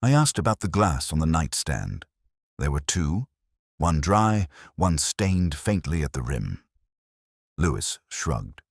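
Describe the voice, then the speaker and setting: A man reading first-person mystery narration in a measured, brooding, literary manner. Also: a bass voice, big pitch swings, creaky, husky, dry recording, studio quality.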